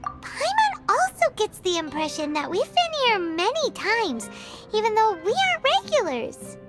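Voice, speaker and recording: A woman voicing a tiny, excitable childlike female fairy companion in a video game, with a squeaky voice. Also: moderate pace, heavily acted. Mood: surprised, animated. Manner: excitable, refers to self in third person. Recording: background music throughout.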